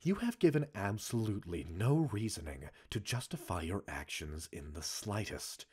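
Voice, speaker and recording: A man playing a cold, stern military commander in a roleplay audio, giving a formal, measured reprimand in a husky voice. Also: lowish voice, big pitch swings, darkish tone. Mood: controlled anger. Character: stern male military commander, cold, scolding a reckless subordinate. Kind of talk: scripted ASMR roleplay monologue.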